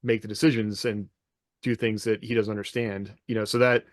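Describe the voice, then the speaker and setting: Lowish-voiced man in dry, casual discussion. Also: level tone, brisk, no room sound. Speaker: podcast co-host.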